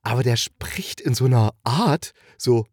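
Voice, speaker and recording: A man's voice: mid-range voice, lively intonation, unhurried, a little mellow, husky, dry recording. Guy podcaster chatting away about stuff he likes, rambly and keen, really dry close sound. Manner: enthusiastic, colloquial, rambling. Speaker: podcast host reviewing radio plays. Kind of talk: casual chatty review monologue.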